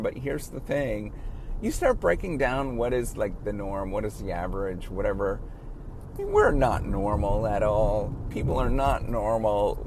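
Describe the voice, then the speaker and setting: A man in his late thirties who stutters, giving halting, earnest encouragement in a casual spoken monologue as a professor. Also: lowish voice, vocal fry, background noise. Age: late 30s.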